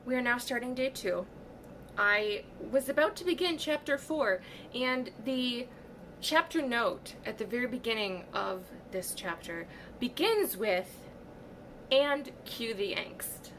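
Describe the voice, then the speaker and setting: A woman reading vlogger chatting casually and expressively, with halting thoughts that trail off. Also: a little high, expressive, fairly bright tone, slight background noise, rough recording. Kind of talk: casual reaction vlog chat.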